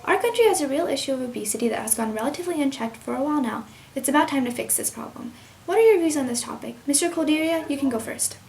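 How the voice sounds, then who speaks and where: A young woman hosts a scripted debate in a formal register. She talks quickly in a fairly high voice, with slight background noise on the recording. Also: lively intonation, a little mellow. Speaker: debate moderator and host. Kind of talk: scripted mock debate introduction.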